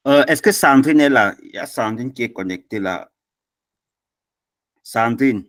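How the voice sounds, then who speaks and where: A man's voice: mid-range voice, lively intonation, a little slow, no echo. A man closing an online language class on a video call, speaking hesitantly with many fillers.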